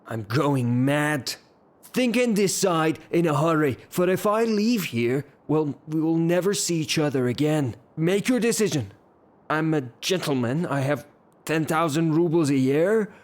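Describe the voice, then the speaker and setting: An actor playing a blustering, lovestruck, hot-tempered gentleman landowner in an audio drama, with a halting, theatrical delivery. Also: fairly high pitch, lively intonation. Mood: frantic, infatuated. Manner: theatrical, halting, repeats himself.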